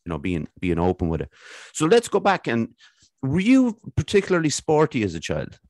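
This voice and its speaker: A man's voice: mid-range voice, huge pitch swings, brisk, darkish tone, no echo. A man with an Irish accent hosting a casual podcast interview, talking in long rambling turns into a studio mic. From Ireland. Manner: rambling, many fillers and restarts. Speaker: podcast host. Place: home room. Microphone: studio mic with headphones.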